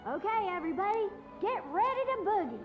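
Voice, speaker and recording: A woman's voice: very high voice, sing-song, a little fast, lo-fi sound, faint music behind. A woman playing a chirpy, bustling teenage party organizer in a video game, with an upbeat, folksy manner. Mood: busy, cheerful.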